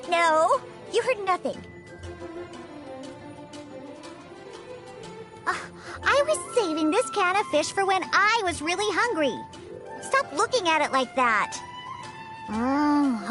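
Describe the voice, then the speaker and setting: A woman's voice: very high voice, a bit bright, exaggerated voice. A woman voicing a proud, grumpy young cat-girl bartender in a video game, huffy and stammering when flustered. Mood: huffy, bossy. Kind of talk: video game character voice lines.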